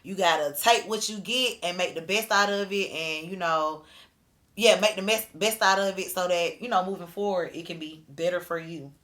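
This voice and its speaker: Low-voiced thoughtful woman in her thirties. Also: normal speed, a bit bright. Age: 30s. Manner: reflective, talks in loose thoughtful asides. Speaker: podcast co-host. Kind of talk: casual chat.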